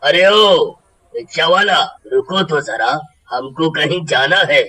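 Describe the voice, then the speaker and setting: An imperious cartoon tantric sage, performed by a man, pitched down from a high natural voice. He speaks slowly, as one giving orders. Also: lively intonation, sharp tone, full character voice, rough recording. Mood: commanding. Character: commanding tantric holy man.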